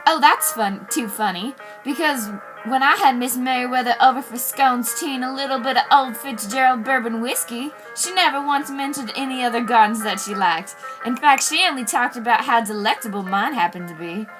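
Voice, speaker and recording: A woman's voice: fairly high pitch, moderate pace, hardly any pauses, close to an everyday voice, low-quality recording. A woman acting a boastful, prim, haughty housewife in a theatrical 1940s radio drama manner. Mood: smug, boastful. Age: teens. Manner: self-satisfied, theatrical. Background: music.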